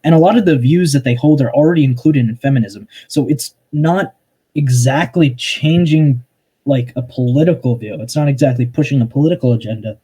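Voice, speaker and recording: A young man arguing his point in an online voice-chat debate, halting and restarting, often cutting in with "hang on". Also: mid-range voice, warm tone, slightly rough. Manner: hesitant, restarts a lot, interrupts with "hang on".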